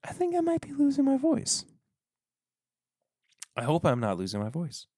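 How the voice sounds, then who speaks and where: A man hosting a casual solo podcast from his desk at home, talking in a rambling, halting way that often stops and restarts. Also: sits high, huge pitch swings, mellow, no room sound, clean studio sound. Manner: rambling, halting, self-interrupting. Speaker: podcast host reviewing whiskey.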